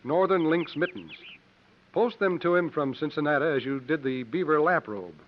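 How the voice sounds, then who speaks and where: An actor playing a roguish, unruffled adventurer with an urbane, dryly formal manner in 1950s radio acting. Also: male voice, fairly high pitch, normal speed, lightly acted. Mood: brisk. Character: suave, sardonic, roguish male adventurer, cool under fire. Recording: dull, noisy 1950s radio recording.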